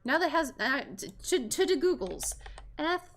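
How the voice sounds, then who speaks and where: A woman livestreaming a visual novel, chatting and reacting aloud to the characters in an informal, expressive way. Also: high voice, measured pace, slight background noise. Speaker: streamer playing a visual novel.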